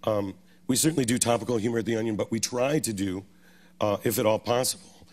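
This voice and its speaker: A man on a festival panel, speaking in a halting way with many restarts while losing his voice. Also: fairly low pitch, a little mellow. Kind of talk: panel discussion on stage. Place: festival stage.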